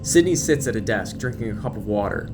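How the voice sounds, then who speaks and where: A man's voice: fairly low pitch, animated, brisk, music in the background. A man narrating an audio drama in scripted present-tense prose, reading scene descriptions and action.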